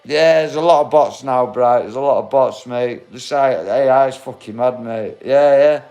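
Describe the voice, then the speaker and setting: A man's voice: medium pitch, moderate pace, a little mellow, faint music behind. A man in his forties hosting a casual livestream, talking bluntly and swearing, calling his viewers mate and bro. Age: 40s. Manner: blunt, matey, swears, calls everyone mate. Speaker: livestream host. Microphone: phone.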